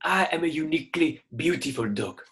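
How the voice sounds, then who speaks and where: A man's voice: fairly high pitch, animated, a little fast, a bit bright. A man voicing a proud, grumbling ugly duckling in an irreverent radio play. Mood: defiant, proud. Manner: grumbling, boastful, peppers speech with French. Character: proud, indignant ugly duckling who refuses to be swan.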